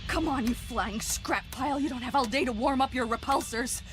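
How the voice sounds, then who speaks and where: Actress as a high-voiced wisecracking rebel pilot. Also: female voice, brisk, natural voice. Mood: urgent, exasperated. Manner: sarcastic. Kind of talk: audio drama acting. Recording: loud music behind the voice.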